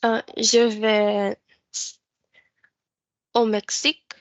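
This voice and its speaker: Slow, hesitant woman student. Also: fairly high pitch, level tone, no room sound. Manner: hesitant, tentative answers. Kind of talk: answering drill questions in class.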